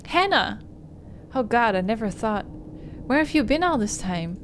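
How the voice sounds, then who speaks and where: A woman's voice: on the high side, sing-song, a little slow, slight background noise. A woman playing a frightened, devoted young woman in a visual novel, urgently pleading and calling out. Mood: desperate.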